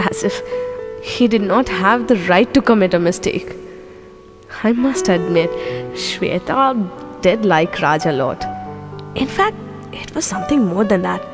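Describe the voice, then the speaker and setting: An actress with an Indian English accent playing a young woman remembering an old friend, musing softly to herself. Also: mid-range voice, animated, measured pace, over music. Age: young adult. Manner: soft, musing inner monologue. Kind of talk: audio drama acting with inner monologue.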